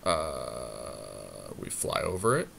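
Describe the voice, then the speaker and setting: A man co-hosting a podcast, casually commentating on a game and thinking out loud as he reasons through each move. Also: a bass voice, very animated, extremely slow, vocal fry, a little hiss or hum. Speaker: podcast co-host.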